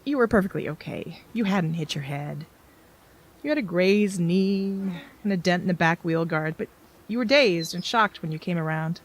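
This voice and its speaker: A woman's voice: fairly low pitch, expressive, normal speed, slight background noise, lightly acted. An actress playing a plain-spoken female companion in an audio drama. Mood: tender reminiscence.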